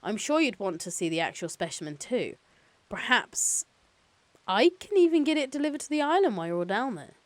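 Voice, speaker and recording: Woman reading as an eager, high-pitched businessman. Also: very animated, fairly quick, no echo. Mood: eager, businesslike. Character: brisk, chatty, polite male corporate lawyer on the phone. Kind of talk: novel read-aloud dialogue.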